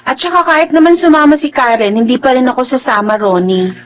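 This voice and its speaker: A woman's voice: high-pitched, fast talker, lightly acted. A woman acting an earnest, principled young student and devoted daughter in a Filipino radio drama. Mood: firm, indignant. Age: young adult.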